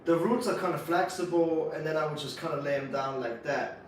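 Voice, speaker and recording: A man with a Swiss accent co-hosting a casual bonsai livestream, explaining techniques in a relaxed, practical way with lots of fillers. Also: a little high, fairly quick, a little hiss or hum. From Switzerland. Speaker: bonsai nursery co-host. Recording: echoey room, mic at a distance.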